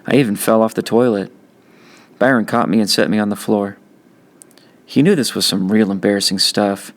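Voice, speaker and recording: Male audiobook narrator, lowish pitch with noticeable vocal fry, teacher reading a story aloud. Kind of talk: first-person audiobook narration.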